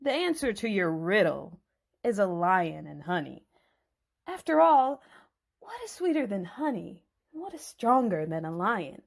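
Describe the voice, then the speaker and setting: A woman's voice: mid-range voice, big pitch swings, a little slow, creaky, heavily acted. A woman voicing scheming Philistine men in a Bible story dramatization, scornful and threatening. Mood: smug. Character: scheming, sneering Philistine men, menacing wedding guests.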